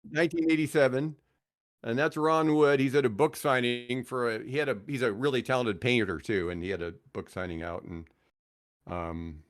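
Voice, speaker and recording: A man's voice: mid-range voice, fairly even intonation, moderate pace. A man in his sixties telling casual anecdotes in a jokey, self-mocking way, slipping into acted-out bits of dialogue. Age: 60s. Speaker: podcast guest, retired radio host.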